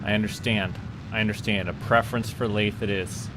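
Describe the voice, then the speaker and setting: A man's voice: on the low side, fairly even intonation, fairly quick, background noise. A man with a Canadian accent giving casual gameplay commentary as a livestream host to a live chat. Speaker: livestream host playing a space simulation game. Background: music.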